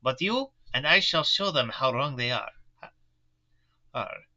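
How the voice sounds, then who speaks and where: A man voicing a kindly, grave elderly professor in a put-on German-style accent, speaking in halting, broken formal phrases. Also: mid-range voice, lively intonation, sharp tone, muffled recording, heavily acted.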